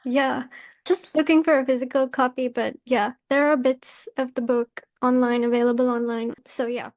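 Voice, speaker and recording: A woman's voice: high-pitched, little pitch movement, moderate pace, darkish tone. A woman hosting a literary podcast, speaking in a scripted presenter style. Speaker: podcast host and editor.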